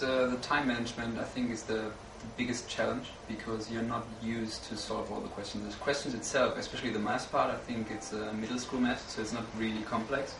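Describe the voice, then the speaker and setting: Creaky, flat-voiced young man. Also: lowish voice. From Germany. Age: young adult. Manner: hesitant, frequent uh fillers, slight non-native grammar. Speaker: student panelist. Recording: roomy, distant mic with background noise.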